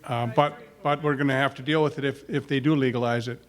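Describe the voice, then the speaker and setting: A man's voice: mid-range voice, steady tone, fast talker. Hesitant man thinking aloud in a meeting. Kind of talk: casual group discussion. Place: meeting room.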